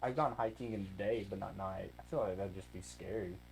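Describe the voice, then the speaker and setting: A man with a fairly low voice talks casually as the host of a reaction video. He rambles, breaking off his own sentences and drifting into tangents. Faint music plays behind him. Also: fairly quick, vocal fry, rough recording. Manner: rambling, self-interrupting, goes off on tangents.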